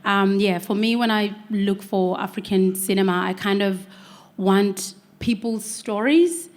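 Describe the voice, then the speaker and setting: A woman's voice: medium pitch, a little slow. A woman panelist who speaks earnestly and hesitantly, with many fillers and restarts. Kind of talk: panel discussion.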